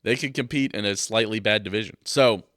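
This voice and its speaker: A man's voice: fairly low pitch, brisk, a bit bright, no room sound, clean studio sound. A young man with a stuffed-up nose from a cold, hosting a casual sports podcast and rambling with jokes into his microphone. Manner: rambling, joking, loose. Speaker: podcast host.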